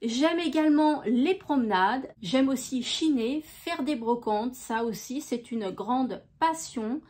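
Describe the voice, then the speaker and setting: A woman from France hosting her own channel, chatting gently through viewer questions with many small hesitations. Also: sits high, animated, takes it slowly. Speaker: YouTube crafts channel host. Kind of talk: casual FAQ video answering viewer questions.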